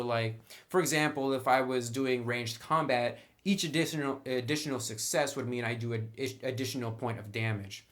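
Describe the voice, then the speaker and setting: A man's voice: medium pitch, a bit bright. A man giving a casual, conversational review of a tabletop game, explaining rules and sharing his own opinions.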